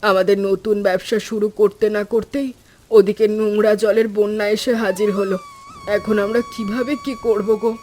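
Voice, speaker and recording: Man as Sarojini, a high-voiced anxious village wife. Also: level tone, moderate pace, a little mellow. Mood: worried. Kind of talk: animated moral story dubbing.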